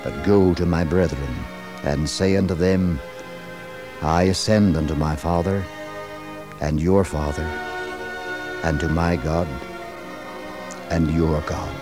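A man voicing a soft, low, solemn risen Jesus. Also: fairly even intonation, music in the background. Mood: tender, solemn. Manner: soft, slow, tender. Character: risen Jesus, tender solemn male, speaking gently. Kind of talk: 1960s religious radio drama acting.

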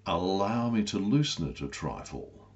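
A man voicing an arrogant, lecherous Georgian duke, deep and pompous, with oily drawn-out phrasing in a storybook. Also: animated, lo-fi sound. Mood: leering.

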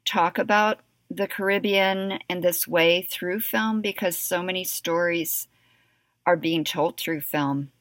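A woman's voice: lowish voice, a bit bright, no room sound. A woman radio interviewer reading a scripted intro and asking appreciative questions.

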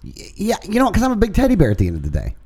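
A man co-hosting a talk show, telling stories in casual banter with lively energy. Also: high voice, very fast, slightly rough. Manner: lively, animated storyteller. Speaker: co-host.

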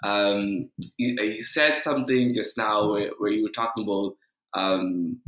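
A man's voice: lowish voice, fairly even intonation, a little slow, a bit bright, echoey room, low-quality recording. A man from the Bahamas hosting a podcast interview, asking questions and reacting with frequent restarts. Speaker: podcast host. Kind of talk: casual interview chat.

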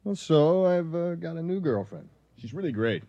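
A man's voice: on the high side, very animated, normal speed, no room sound. Flustered, swooping, boastful man, slightly muffled. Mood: nervous bragging. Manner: stammering, blustering. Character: flustered, boastful, jealous young man, lovelorn restaurateur. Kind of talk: radio comedy acting.

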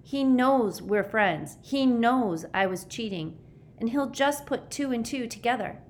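A woman's voice: on the low side, unhurried, natural voice. A woman voicing a frightened, anxious boy in a storybook, shaky, pleading and emotional. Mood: panicked. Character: frightened, despairing, anxious boy on the verge of breaking down.

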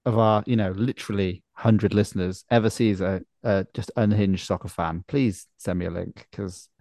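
A man's voice: lowish voice, fairly even intonation, normal speed, warm tone, no room sound, clean studio sound. A man hosting a soccer podcast, chatting casually and animatedly, often repeating his phrases. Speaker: podcast host. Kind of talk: casual chat about soccer.

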